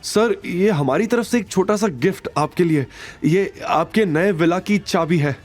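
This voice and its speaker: A man's voice: high voice, measured pace, pitched above the performer's own voice. A man voicing a nervous, servile manager in an audio drama, deferential and pleading, prone to stammering. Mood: eager, servile. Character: nervous, servile male property manager, eager to please. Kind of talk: Hindi audio drama dialogue.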